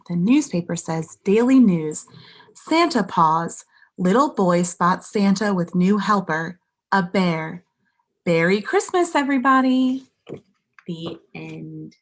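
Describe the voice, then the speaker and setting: A woman's voice: lowish voice, gravelly. A woman reading a children's storybook aloud like a pastor at story time, dramatic and speaking directly to young listeners. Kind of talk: expressive children's storybook narration.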